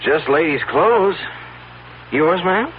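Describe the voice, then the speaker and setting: Slow, awkward, doubtful investigator. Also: male voice, on the high side, expressive, background noise. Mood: flat skepticism. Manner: hesitant, laconic. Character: awkward, doubtful male investigator, clumsily soothing. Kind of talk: 1970s radio drama acting. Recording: tinny humming old radio recording.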